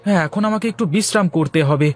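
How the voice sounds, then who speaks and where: A man's voice: high voice, fast talker, soft background music, close to an everyday voice. A man voicing a boastful, sly village husband in a cartoon, theatrical and exclamatory, repeating his phrases. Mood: sulky, offended. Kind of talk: animated cartoon voice acting in Bengali moral story.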